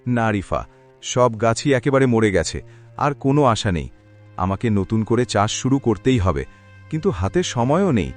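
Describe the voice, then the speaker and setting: A man voicing a humble, gentle, worried village farmer in a cartoon, earnest and plaintive. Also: medium pitch, big pitch swings, normal speed, faint music behind, natural voice. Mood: despondent. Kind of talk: cartoon voice acting.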